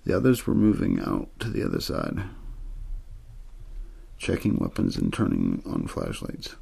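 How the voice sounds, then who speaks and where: Male ASMR story reader: low, husky, heavy fry, plain untheatrical delivery meant to soothe. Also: wildly swooping pitch, measured pace, a little mellow. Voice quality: husky, gravelly. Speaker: audiobook-style story reader. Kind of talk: ASMR story reading, scripted narration.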